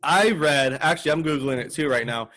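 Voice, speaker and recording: A man's voice: fairly high pitch, fairly quick, a bit bright. A man hosting a comedy podcast with casual, playful, profane banter, acting out bits as he goes.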